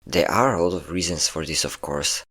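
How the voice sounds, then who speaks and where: A man's voice: low-pitched, normal speed, pushed and bright, breathy edge, heavily acted. A man voicing a possessive, sadistic and flirtatious vampire captor in an intimate roleplay audio drama, teasing and commanding. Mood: obsessive, amorous. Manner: teasing, commanding, theatrically smug.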